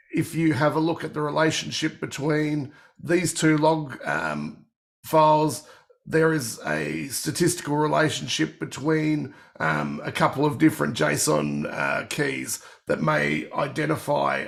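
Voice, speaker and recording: A man with a cold and a croaky, gravelly voice, presenting a technical workshop and walking through product features. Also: a little high.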